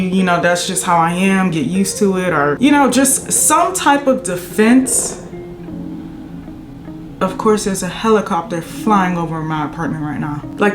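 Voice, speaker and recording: A woman's voice: medium pitch, reverberant. A woman in her late twenties chatting casually and venting while doing her makeup at home, rambling a little before coming back to her point. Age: 20s. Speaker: beauty vlogger. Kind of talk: casual get-ready-with-me chat. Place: her apartment.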